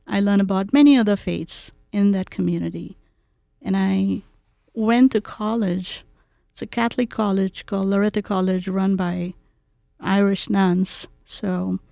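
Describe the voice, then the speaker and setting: A woman, a guest in an interview, speaking in a measured, deliberate way. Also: mid-range voice, creaky, mellow, no echo, studio quality. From India. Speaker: guest, community advisor. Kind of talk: conversational interview.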